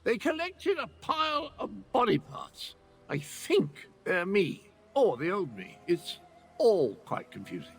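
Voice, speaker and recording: Wry undead oddball from a fantasy game, high gravelly voice that swoops around, rambly and theatrical. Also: male voice, huge pitch swings, slow pace, soft background music, full character voice. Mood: uneasy, dramatic. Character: chatty eccentric undead former soul shriver, self-mocking. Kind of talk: video game character acting.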